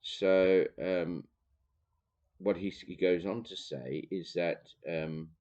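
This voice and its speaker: A man with a Dutch accent, reading from a theology book and pausing to explain, with many fillers and restarts. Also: deep voice, steady tone, darkish tone, highs cut off. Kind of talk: reading aloud with commentary.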